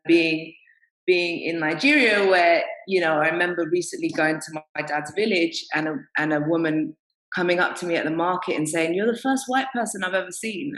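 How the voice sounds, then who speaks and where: A woman's voice: lowish voice, fairly bright tone, reverberant, low-quality recording. A woman panelist telling candid stories in a panel discussion, often laughing as she talks. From England.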